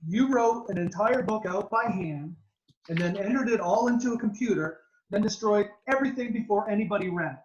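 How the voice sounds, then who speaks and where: A man reading the part of a blunt, logical father at a screenplay table read, brisk and matter-of-fact. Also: high-pitched, muffled recording, lightly acted.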